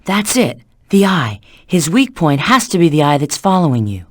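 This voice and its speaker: A cool, flirty female bat treasure hunter from a video game. She gives knowing instructions in a very lively, swooping delivery. The sound is close and dry. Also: sits low, normal speed, no room sound. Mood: urgent, alert. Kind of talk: video game character voice acting.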